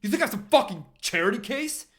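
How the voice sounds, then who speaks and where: A man's voice: extremely high pitch, big pitch swings, a little fast, voice pushed up. A man reading a story and voicing a proud, belligerent teenage quarterback who talks with scornful defiance. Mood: furious scorn.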